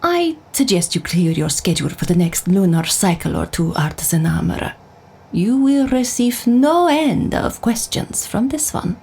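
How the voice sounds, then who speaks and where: A seasoned female veteran, wry and unsentimental, with a crackling fry in her mid-pitched voice. She talks bluntly and reflectively, in the manner of a scripted audio drama. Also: big pitch swings, moderate pace, a little mellow, full character voice. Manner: blunt, plain-spoken, reflective. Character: world-weary, candid female war veteran, wry and unsentimental.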